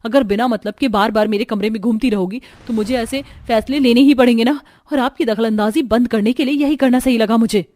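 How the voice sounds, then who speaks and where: A woman's voice: on the high side, fairly quick, hardly any pauses, darkish tone, natural voice. A woman voicing an irritable, possessive young sister-in-law in a Hindi family cartoon, snappy and defensive. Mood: defiant.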